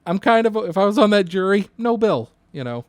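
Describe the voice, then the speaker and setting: Man with very lively, swinging intonation. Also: sits high, brisk, no echo. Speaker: emergency dispatcher, podcast co-host. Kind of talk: casual podcast conversation.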